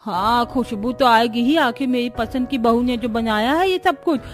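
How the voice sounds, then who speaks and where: A woman voicing a stern, sharp-tongued old grandmother-in-law in a cartoon, scolding and commanding. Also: a little high, normal speed, natural voice. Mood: taunting.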